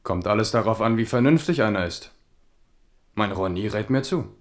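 A man's voice: on the low side, animated, moderate pace, natural voice. An actor playing a wry, pragmatic, philosophical king in a German radio drama. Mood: wry, reflective.

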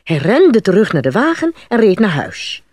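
A woman narrating a scripted fairy tale for children in an expressive, dramatic read-aloud style with a slightly husky voice. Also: mid-range voice, moderate pace, dry recording. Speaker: storyteller.

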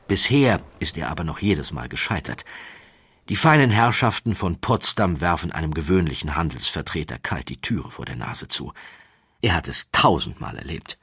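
A man reading a literary story as a narrator, measured, expressive and slightly wry. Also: low voice, a little hiss or hum. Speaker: audiobook narrator.

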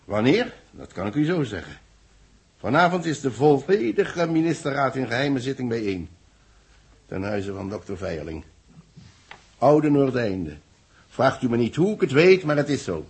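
An actor playing an earnest, worried official in a 1960s radio drama, speaking in a grave, formal manner with a husky voice. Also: male voice, mid-range voice, very animated, moderate pace, pauses often, a little mellow, exaggerated voice. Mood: urgent. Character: earnest, worried male official confiding in a team.

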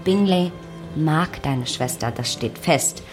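Pragmatic, lower-voiced young woman. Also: lively intonation, takes it slowly. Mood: knowing advice. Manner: matter-of-fact, frank, knowing. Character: pragmatic, unromantic young woman, frank confidante. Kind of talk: German radio drama acting. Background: music.

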